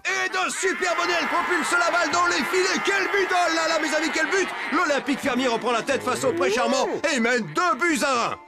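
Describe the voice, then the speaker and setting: A high-pitched, theatrical football commentator, full of breathless enthusiasm and drawn-out words. It is a children's cartoon parody with loud music behind. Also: male voice. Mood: wildly excited. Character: excitable male football commentator narrating farm match.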